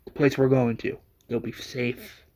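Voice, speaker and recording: Male storyteller as secretive woman fighter, resolute and quiet, unhurried, story drama. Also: medium pitch, steady tone. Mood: hushed remorse.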